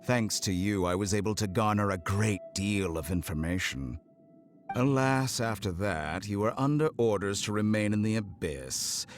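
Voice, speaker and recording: A man's voice: fairly low pitch, normal speed, close to an everyday voice. A man voicing a cold, sinister scientist villain in a video game, formal and menacingly courteous, over music. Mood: smug. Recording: music under the voice.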